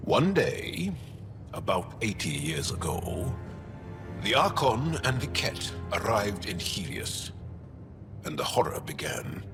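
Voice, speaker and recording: An actor playing a grave, proud alien resistance fighter in a video game, speaking deliberately and solemnly. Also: male voice, on the low side, big pitch swings, exaggerated voice. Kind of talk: dramatic game dialogue. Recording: music behind the dialogue.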